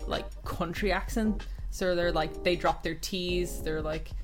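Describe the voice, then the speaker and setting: An Irish woman with a softened Irish accent, co-hosting a casual podcast chat, candid and swearing freely. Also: on the low side, steady tone, measured pace, over music. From Ireland. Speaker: podcast co-host.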